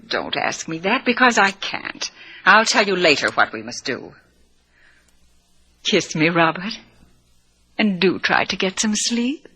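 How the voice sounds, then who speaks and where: A woman's voice: fairly low pitch, very animated, a little slow, pauses often, bright, forceful tone, exaggerated voice. A woman playing a sweet-talking, ruthless tourist murderess in an old radio drama, with a genteel, coy and menacing manner. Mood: urgent, secretive. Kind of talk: 1940s-50s radio drama acting.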